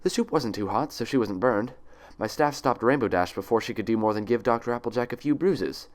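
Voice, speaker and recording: A man's voice: mid-range voice, expressive, brisk, lightly acted. A man voicing a female pony nurse in an audiobook story, syrupy and condescending with a cold, authoritative edge. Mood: falsely cheerful. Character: falsely cheerful, icily stern female pony nurse.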